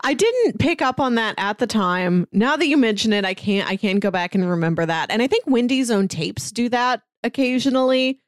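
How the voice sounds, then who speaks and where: A woman's voice: fairly high pitch, lively intonation, moderate pace, few pauses, dry recording. Woman co-host, dry close sound, casual. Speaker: podcast co-host. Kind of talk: casual review discussion.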